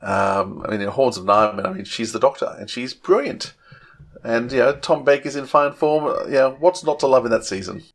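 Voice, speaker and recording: A man's voice: mid-range voice, moderate pace. A middle-aged man co-hosting a podcast in casual fan chat, opinionated and often hesitating as he thinks aloud. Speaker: podcast co-host.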